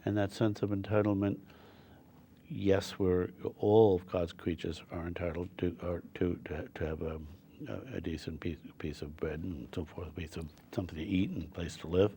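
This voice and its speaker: Older man, interview guest, very low voice, mellow dark tone, earnest, repeats himself. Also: fairly even intonation, slight background noise. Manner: earnest, halting, repeats words often. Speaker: guest on a talk show. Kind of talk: casual interview conversation.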